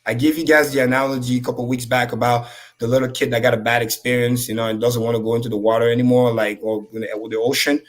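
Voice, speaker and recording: Guy who speaks french first, preaching self-improvement on a stream, swears a lot, lots of energy, mid pitch. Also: level tone, quick pace, hardly any pauses. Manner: high-energy, profane, preachy. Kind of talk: casual motivational talk.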